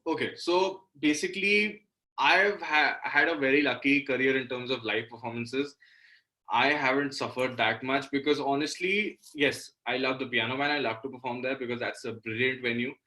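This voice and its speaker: Bright-voiced Indian man, earnest and conversational. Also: mid-range voice, low-quality recording. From Delhi, India. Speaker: interview guest, musician and guitar teacher. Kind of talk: casual interview conversation.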